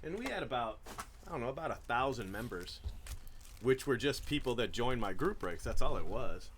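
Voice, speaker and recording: A man's voice: mid-range voice, sing-song, normal speed, slight background noise. A man hosting a trading card break, chatting casually about his past with many fillers and restarts. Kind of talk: casual chat while opening cards.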